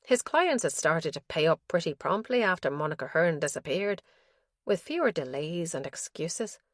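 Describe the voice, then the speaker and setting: A woman narrating a mystery thriller audiobook in a measured, tense storytelling manner. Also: low voice, lively intonation, fairly bright tone, no room sound, studio quality.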